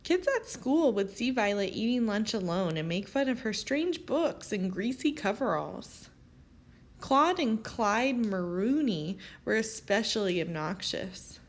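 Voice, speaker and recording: A woman reading a children's picture book aloud as an expressive storyteller. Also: mid-range voice, a little slow. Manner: expressive, animated storytelling with acted character lines. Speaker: storybook reader.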